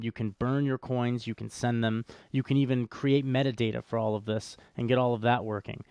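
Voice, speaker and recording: Smooth-voiced man, dry close sound. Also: medium pitch, a little mellow, no room sound. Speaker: co-host of a crypto show. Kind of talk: casual explanatory livestream talk.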